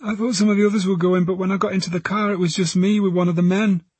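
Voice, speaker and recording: A man's voice: high-pitched, little pitch movement, fast talker, a little mellow, slightly rough, no room sound, voice pushed up. A man voicing a frightened, defiant injured teenage girl, sullen and wary, in an audiobook. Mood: subdued, uneasy. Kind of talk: audiobook character voice.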